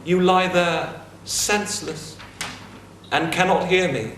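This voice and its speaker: A man playing a grieving king who mourns his dead friend in a slow, solemn staged reading. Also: high-pitched, steady tone, a bit bright. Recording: echoey hall, faint noise.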